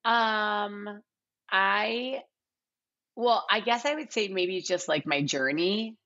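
A middle-aged woman chatting casually as an interview guest, animated and self-deprecating. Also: mid-range voice, takes it slowly, muffled recording. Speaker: casting director, podcast guest. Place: home living room, video call.

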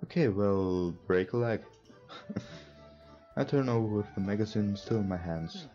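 A young man, not a native English speaker, doing a casual let's play and reading game dialogue aloud in a halting way. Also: lowish voice, warm tone. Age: young adult. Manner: halting, stumbles while reading. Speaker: let's player. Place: indoor room at a computer. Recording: dull lo-fi sound. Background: game music.

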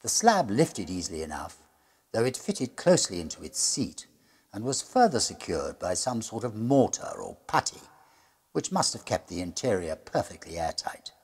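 A middle-aged man narrates a classic horror story with grave deliberation. His voice is very low and breathy, swinging expressively in pitch and often falling toward a hush. Music plays underneath. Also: sing-song. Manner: grave, deliberate, hushed at phrase ends. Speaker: storyteller reading a classic ghost story aloud. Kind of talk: dramatic gothic horror narration, read as a diary.

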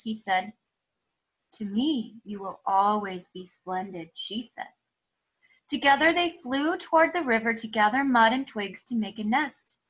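A woman in her thirties, a teacher, reads aloud to children in an expressive, deliberate storybook style, recorded at home on a nearby device. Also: on the high side, highs cut off. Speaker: teacher reading aloud to pupils. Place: small room at home.

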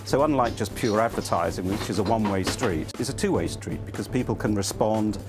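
A man with a low voice explains his view at a brisk pace, as in an interview. Music is clearly playing behind him. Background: background music.

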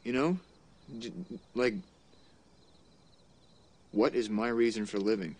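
A man's voice: lowish voice, slow. A young man playing a nervous, rambling college student who opens up to an older man on a porch at night in a film comedy. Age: young adult. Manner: anxious, rambling, nervous talk.

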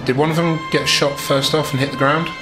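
A man's voice: a little high, level tone, a little fast. A man chatting casually as a player in a tabletop role-playing game. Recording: echoey room, music behind.

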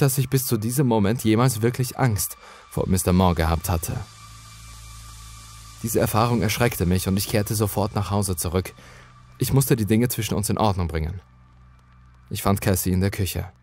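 A man's voice: on the low side, darkish tone. A man reading a scripted first-person horror story as its narrator.

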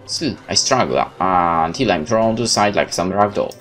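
Low-voiced man reading game narration. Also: expressive, moderate pace, a bit bright. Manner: stumbles and corrects misreadings. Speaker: Let's Play gamer reading game text aloud. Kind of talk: dramatic read-aloud of game narration. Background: game music.

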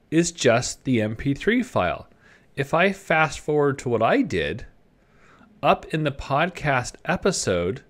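A man with a mid-pitched voice walks through a software tutorial. His intonation rises and falls in a lively way. He talks informally and conversationally, thinking aloud as he demonstrates each step. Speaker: presenter of a web development tutorial, designer. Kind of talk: informal screen-share tutorial narration.

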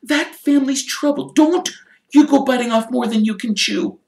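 A man's voice: extremely high pitch, sing-song, a little slow, distant mic, pitched above the performer's own voice, exaggerated voice. A man voicing an anxious, fussing, motherly middle-aged woman who frets and scolds, read in an echoey room. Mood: scolding. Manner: fretful, scolding, breathless.

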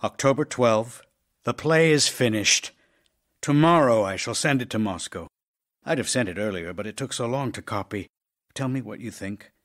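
A man's voice: medium pitch, moderate pace, a bit bright, close to an everyday voice. An actor playing an ailing middle-aged playwright husband in an audio drama, tender, intimate and wistful as he voices love letters. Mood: relieved, fond.

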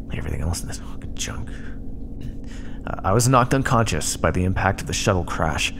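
A man playing a sardonic, battered starship first officer dictating a log in an audio roleplay, wry and exasperated, with muttered asides. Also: on the low side. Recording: clear background noise under the voice.

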